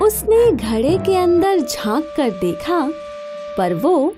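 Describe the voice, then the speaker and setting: Female narrator for children's tales: high, expressive voice, very unhurried delivery over music. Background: background music.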